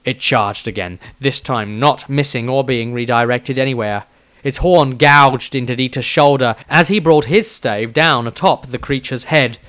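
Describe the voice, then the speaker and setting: A man's voice: medium pitch, unhurried, fairly bright tone. A man reading his own fantasy story aloud as a scripted, dramatic narration with a theatrical delivery.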